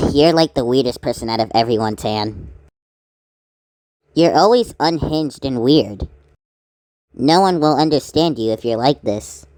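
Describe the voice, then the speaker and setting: Woman as skeptical cartoon character, low voice, lively swings, blunt, teasing. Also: a little slow, pauses often, no room sound.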